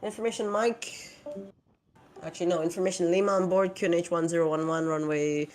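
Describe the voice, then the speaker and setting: Flight-sim controller with a middle-pitched voice, brisk and procedural on the radio. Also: fairly even intonation. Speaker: player taking over air traffic control in a flight simulation game. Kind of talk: radio traffic control phraseology.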